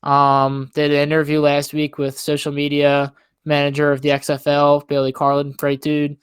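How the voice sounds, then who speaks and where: A man talks casually as a podcast co-host in a clear, somewhat high voice. The sound is dry, and his delivery is loose and informal, hedging as he thinks aloud. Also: monotone, moderate pace, dry recording. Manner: hedging, informal, leans on "like" and "I mean". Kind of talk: casual chat.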